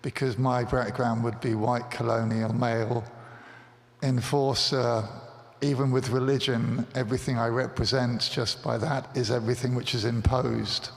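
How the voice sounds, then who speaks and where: A man's voice: mid-range voice, little pitch movement, moderate pace. A man in the audience asking a tentative, thoughtful question. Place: church hall. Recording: reverberant church hall.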